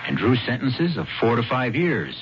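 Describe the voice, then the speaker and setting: A man's voice: mid-range voice, very animated, moderate pace. A man hosting and narrating a 1970s radio mystery as a theatrical, conversational storyteller. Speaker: host and narrator. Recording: dull radio recording under loud music. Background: loud music.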